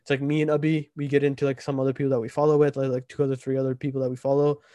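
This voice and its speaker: A man's voice: medium pitch, level tone, very fast, warm tone, no echo. A twenty-year-old man hosting a casual podcast chat, rambling with lots of fillers and frequent "right?" check-ins. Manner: rambling, filler-heavy, keeps asking "right?". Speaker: podcast host.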